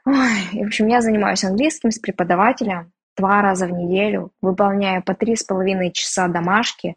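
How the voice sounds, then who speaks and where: A woman's voice: medium pitch, husky. A woman from Russia chatting frankly and casually in an interview, joking about herself. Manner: frank, chatty, self-mocking. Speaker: interviewee, emigrant. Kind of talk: candid casual chat.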